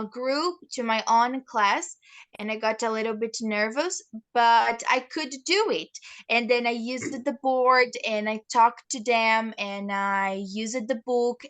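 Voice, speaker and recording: A woman's voice: fairly high pitch, sharp tone, smooth. A nineteen-year-old woman from Brazil with a Brazilian Portuguese accent, a teacher answering interview questions thoughtfully with frequent restarts. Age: teens.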